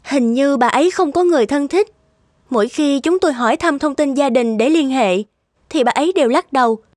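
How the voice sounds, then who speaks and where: A woman voice-acting polite, gentle young women in an animated moral tale. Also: high voice, fairly quick, no echo, clean studio sound, lightly acted. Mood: deferential. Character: polite young women: gentle daughter-in-law, dutiful care worker.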